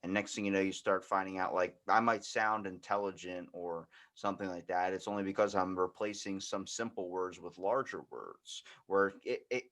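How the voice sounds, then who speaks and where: A man's voice: sits low, fairly even intonation, normal speed, few pauses, a bit bright. A man hosting a podcast, talking in a casual, informal and rambling way. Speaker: podcast host. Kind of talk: casual chat.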